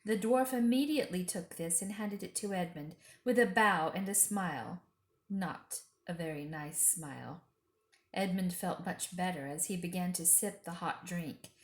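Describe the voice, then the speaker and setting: A woman reading a children's book aloud as an expressive storyteller. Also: fairly low pitch, moderate pace. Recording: roomy echo.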